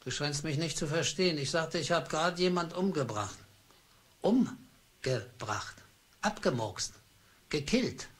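An actor playing a meek, downtrodden office clerk who narrates his own story, nervously excited and blustering. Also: a little high, unhurried, breathy edge. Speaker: narrator and lead of a radio play. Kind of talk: radio drama with first-person narration.

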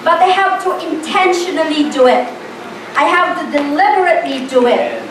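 Very high-pitched woman preaching, echoey. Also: animated. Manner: emphatic, deliberate repetition in short phrases. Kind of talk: informal church sermon with questions to the congregation. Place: church hall with stage, indoors. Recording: roomy echo, distant mic, faint noise.

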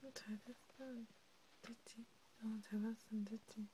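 A woman doing a chatty, intimate ASMR role play in a soft whisper, close to the mic. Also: on the high side, steady tone, moderate pace. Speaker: ASMR creator. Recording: clear background noise with clicks.

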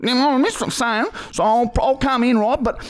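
A man's voice: very high voice, fast. A man in his thirties with an Australian accent playing an aggrieved, dim-witted bogan customer on a prank call, rambling and repeating himself in slang. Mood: indignant, sweary. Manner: repetitive, rambling, slangy, sweary. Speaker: podcast host making a prank call. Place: podcast studio. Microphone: close boom-arm podcast microphone.

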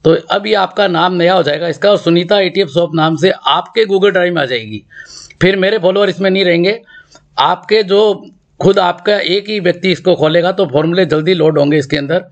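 A middle-aged man, slightly hoarse from a throat infection, teaching a finance lesson at a blackboard and repeating the key numbers step by step. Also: high-pitched, a little fast, echoey room, lo-fi sound. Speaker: stock market educator. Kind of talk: blackboard lesson and screen walkthrough. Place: indoor room with a blackboard.

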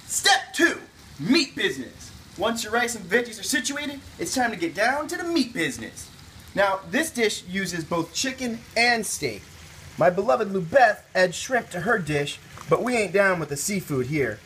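A young American man hosts a homemade cooking video with very animated pitch. He talks in a casual, slangy, playful way as he explains the steps. There is faint background noise in the recording. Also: measured pace. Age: young adult. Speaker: cooking show host. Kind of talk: casual recipe instruction. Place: home kitchen and grocery store, indoors.

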